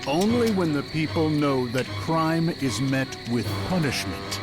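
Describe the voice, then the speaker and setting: An actor playing a cold, cruel and imperious warlord in a video game, speaking in a measured, commanding, menacing way. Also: male voice, on the high side, animated, over music. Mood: coolly challenging. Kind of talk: dramatic video game acting.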